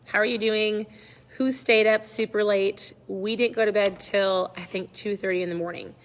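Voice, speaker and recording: A middle-aged woman with a slightly hoarse voice, chatting casually and encouragingly to viewers as the host of a livestream. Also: medium pitch, fairly even intonation, moderate pace, long reverb tail, rough recording. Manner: upbeat, encouraging, conversational. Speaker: online coach hosting a live check-in.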